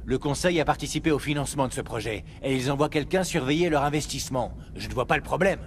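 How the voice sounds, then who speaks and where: A man voicing a calm, reasonable, gently teasing military ship officer in a video game. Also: medium pitch, fast, slight background noise, natural voice.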